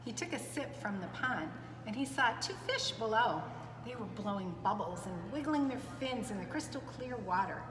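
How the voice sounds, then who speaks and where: A woman's voice: fairly high pitch, expressive, normal speed. A woman reading a story aloud to young children, expressively and with chatty asides to her listeners. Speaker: preschool storyteller. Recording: echoey reverberant room, clear background noise.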